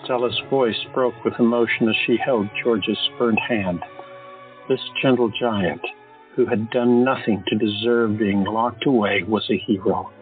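A man narrating an audio drama in a steady, measured storytelling style. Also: on the low side. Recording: tinny phone-like audio, faint music.

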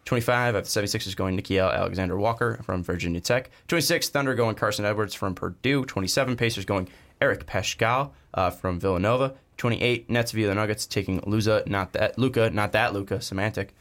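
A man hosting a casual sports podcast, talking with frequent fillers and pauses. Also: on the low side. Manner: relaxed, hesitant, frequent fillers. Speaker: podcast host.

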